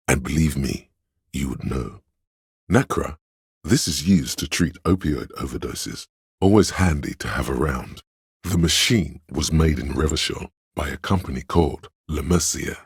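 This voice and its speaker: An extremely deep, gravel-edged scholarly voice from inside the head, narrates for a game. It is very husky and recorded dry and close. The delivery is measured and erudite, grave with a dry sardonic edge. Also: male voice, dry recording, exaggerated voice. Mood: dry, teasing. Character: scholarly inner encyclopedia voice, grave, dryly musing trivia narrator.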